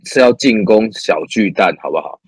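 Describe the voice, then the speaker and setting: A man's voice: fairly low pitch, lively intonation, moderate pace, slightly rough, no echo. A man hosting a casual interview show, encouraging and playful, murmuring agreement often. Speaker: host.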